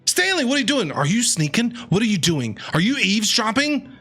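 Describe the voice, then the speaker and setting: A man commentating over a video game as he plays, excitable and sarcastic. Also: high-pitched, fairly quick. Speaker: gaming streamer. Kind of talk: let's-play commentary. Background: game music.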